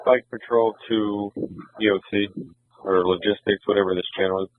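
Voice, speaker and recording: A man's voice: fairly low pitch, fairly even intonation, normal speed, no echo. A man working as a bike patrol officer, making a request over a muffled, narrow-band police radio.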